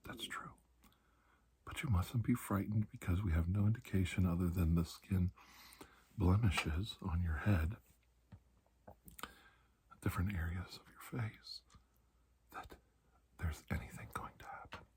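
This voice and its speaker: A man playing a calm, reassuring doctor in a soft-spoken ASMR roleplay, speaking slowly and deliberately in a breathy, husky voice that drifts into whispers. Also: low-pitched, slight background noise. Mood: soothing. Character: calm, reassuring male doctor treating alien skin disease.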